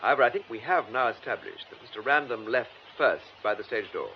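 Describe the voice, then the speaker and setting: Radio drama detective male actor: British, courteous, probing, very lively intonation, slightly muffled broadcast sound. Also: medium pitch, fairly quick, slight background noise, close to an everyday voice. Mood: methodical, probing. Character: composed, methodical male police detective questioning suspects.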